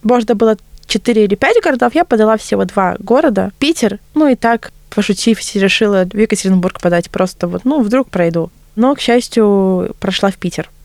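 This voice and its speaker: A woman's voice: mid-range voice, lively intonation, fairly quick, a little mellow, no room sound. A woman in her twenties from Latvia, telling her story as an interview guest in a chatty, self-ironic, conversational way. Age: 20s.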